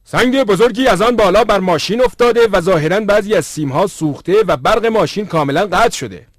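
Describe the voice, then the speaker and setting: A man's voice: sits high, expressive, no room sound, clean studio sound. A man voicing a practical, devout traveler in a cartoon, with earnest, formal diction. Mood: concerned. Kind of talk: dubbed cartoon dialogue.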